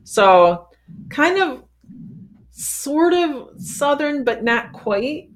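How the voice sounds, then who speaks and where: A woman's voice: sits high, very animated, extremely slow, poor audio. A woman speaking casually as a guest in an interview. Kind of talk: casual chat.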